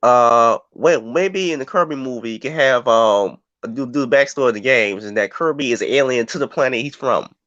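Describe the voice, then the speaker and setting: Halting man on a dull online call. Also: medium pitch, fairly bright tone, no room sound. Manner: halting, repeats words. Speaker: stream guest. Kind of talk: casual chat.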